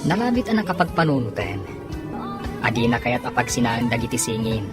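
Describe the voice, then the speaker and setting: An actor with an Ilocano accent playing an inquisitive friend who gives advice over drinks, in a drama. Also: male voice, mid-range voice, very animated, brisk, loud music behind the voice, lightly acted. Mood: concerned. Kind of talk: dramatic conversational drama acting.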